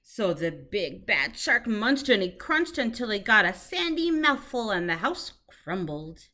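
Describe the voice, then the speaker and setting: A woman teacher reading a children's picture book aloud in an expressive storytelling manner. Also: mid-range voice, measured pace.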